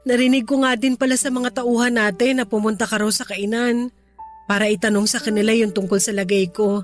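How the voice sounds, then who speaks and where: Woman playing a high-voiced, remorseful Filipino mother. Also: fairly even intonation, brisk, close to an everyday voice. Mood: tender, grateful. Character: remorseful, affectionate mother, middle-aged, making peace with her child. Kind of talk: emotional radio drama dialogue. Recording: music bed under the dialogue.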